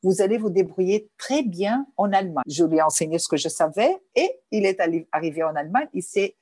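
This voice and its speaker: A woman's voice: lowish voice, animated, fairly quick, warm tone, dry recording, clean studio sound. Woman. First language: Portuguese. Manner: searching for words, many restarts. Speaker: guest. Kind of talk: interview answers.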